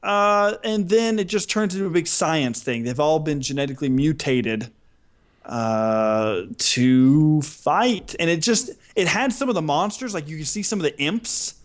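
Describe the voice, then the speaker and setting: A man with a fairly high voice chats casually as a podcast co-host. He tells stories in an animated way and readily pokes fun at himself. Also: measured pace.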